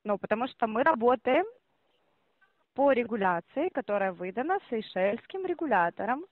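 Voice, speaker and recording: A woman's voice: fairly high pitch, very animated, moderate pace, no echo. A woman working as a call-center operator on a phone call, explaining at length and insisting on her point. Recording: muffled phone line.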